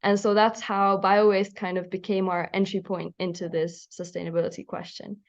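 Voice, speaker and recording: A woman's voice: medium pitch, little pitch movement, normal speed, lo-fi sound. A young woman from Finland, a student, eagerly presenting her group's project on a panel with frequent fillers. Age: young adult. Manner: eager, fluent, uses fillers like "um" and "you know".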